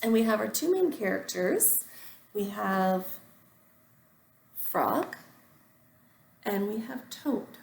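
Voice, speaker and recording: Female first-grade teacher, storybook read-aloud: unhurried, simple explanations, animated intonation, roomy recording. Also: mid-range voice, creaky, a little hiss or hum. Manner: explains simply to children, with deliberate phrasing. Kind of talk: reading a storybook aloud to young children.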